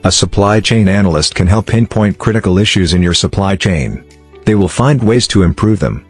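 Deep-voiced male explainer narrator. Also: animated, moderate pace, husky. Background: background music.

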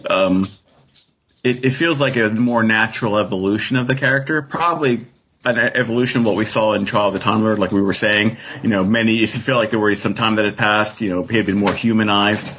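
A man with a fairly low, husky voice talks casually as a guest on a discussion show. He feels his way through his points and often checks for agreement. The audio is a little dull. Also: a little fast, a bit bright. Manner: tentative, often seeking agreement with "right?". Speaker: guest author.

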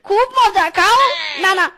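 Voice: very high-pitched, heavy background noise, full character voice. An actor voicing a childish, crazed fox husband in a cartoon, babbling, giggling and whining like a small child. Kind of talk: exaggerated cartoon voice acting. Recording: loud music under the voice.

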